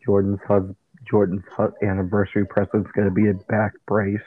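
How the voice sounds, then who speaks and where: A man co-hosting a casual chat podcast, talking loud in a flat monotone. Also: on the low side, normal speed, slightly rough. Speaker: podcast co-host. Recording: tinny phone-like line.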